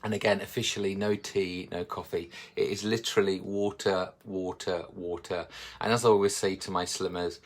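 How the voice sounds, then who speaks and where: A man's voice: sits low, flat delivery, normal speed. A man and slimming coach talking live to his viewers in a chatty, encouraging way. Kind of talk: live social media talk.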